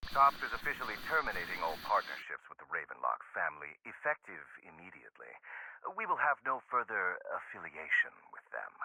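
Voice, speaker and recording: A man's voice: low voice, big pitch swings, a little fast, bright, forceful tone, slightly rough, no room sound, heavily acted. An actor voicing a firm, businesslike official spokesman in a video game, delivering a formal statement over a radio. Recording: noisy radio broadcast with clicks.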